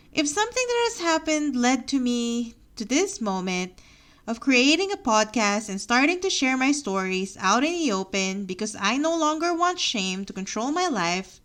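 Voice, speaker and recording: A woman's voice: on the high side, sharp tone. A young woman with a Filipino accent, reading her own personal story aloud on her podcast in an earnest, reflective way. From Philippines. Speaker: podcast host.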